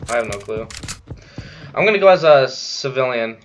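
A man's voice: medium pitch, animated, moderate pace, background noise. A young man chatting casually while playing an online game, recording his gameplay. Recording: loud game gunfire and music behind. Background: loud gunfire and music.

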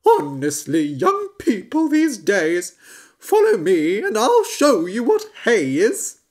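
A woman voicing a grumpy, old-fashioned male horse who talks loudly and huffily. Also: mid-range voice, huge pitch swings, slow, voice pushed up, full character voice. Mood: grumbling. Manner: loud and huffy.

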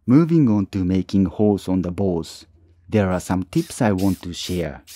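A man narrating his own woodworking tutorial as a calm voice-over, with plain, slightly non-native phrasing. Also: deep voice, moderate pace, no echo. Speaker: woodworking channel host.

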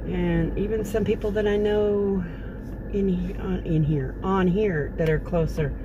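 A woman's voice: on the low side, steady tone, measured pace, muffled recording. A middle-aged woman, chatting casually and rambling with lots of fillers to her phone camera in a parked car. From Texas. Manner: chatty, meandering, folksy with many fillers. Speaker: vlogger. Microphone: phone camera at close range. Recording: car interior with noticeable background noise.

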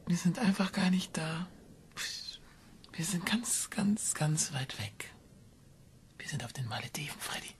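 A low, languid, teasing woman. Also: expressive, unhurried, long pauses, slight background noise. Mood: dreamy, teasing. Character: detached, teasing, cold-blooded woman conspirator. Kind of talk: German radio crime drama acting.

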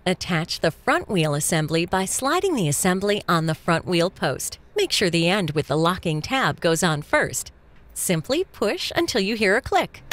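A woman's voice: on the low side, a bit bright. A woman reading scripted instructional narration for a product video. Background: music.

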